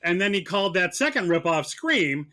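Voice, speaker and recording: A man playing prosecutor in a mock trial, arguing in a sarcastic, halting, conversational way. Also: high voice, very animated, bright, forceful tone. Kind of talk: mock courtroom argument, conversational.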